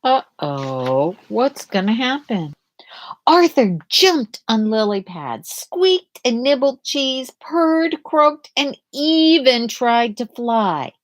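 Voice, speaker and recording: Female children's storyteller, higher-pitched, very expressive melody, unhurried read-aloud delivery. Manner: deliberate, dramatic phrasing for young children. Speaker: storyteller reading a picture book aloud.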